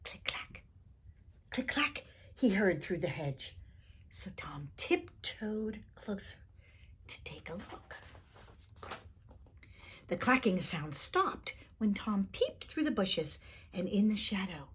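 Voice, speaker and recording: A woman's voice: mid-range voice, big pitch swings, slow pace, pauses often, slight background noise. A woman storyteller reading a children's book expressively, in a theatrical manner. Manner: theatrical, with hushed asides to the listener.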